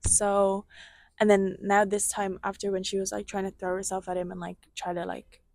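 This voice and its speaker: A woman's voice: mid-range voice, moderate pace, dry recording. Young woman with a slight Irish twang. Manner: animated storytelling, swears, acts out quotes. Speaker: podcast co-host. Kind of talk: casual gossip chat.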